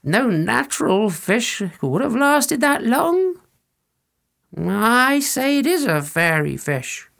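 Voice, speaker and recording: A man voicing an old village woman who speaks slowly and with certainty, reminiscing. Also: very high-pitched, very animated, gravelly, fairly bright tone, pitched above the performer's own voice, heavily acted. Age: older. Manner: slow, reminiscing.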